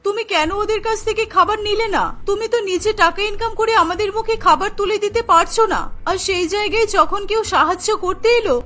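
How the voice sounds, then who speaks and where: Cartoon poor older mother-in-law, acted by a woman: emphatic, needy, very high and sharp. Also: fast talker, hardly any pauses. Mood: reproachful. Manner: plaintive, emphatic. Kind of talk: cartoon character acting.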